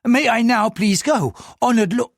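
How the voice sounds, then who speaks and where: A narrator voicing a nervous, fawning middle-aged male businessman who pleads in a high, whiny voice. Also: a little slow, gravelly, no echo, clean studio sound, full character voice. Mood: pleading.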